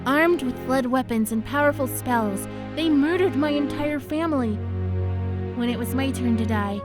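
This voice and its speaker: Woman playing a high-voiced wistful succubus girl over music. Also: normal speed, lightly acted. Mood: sorrowful, solemn. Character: wistful young succubus girl, a devoted adopted sister. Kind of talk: animated web series voice acting. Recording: music behind the voice.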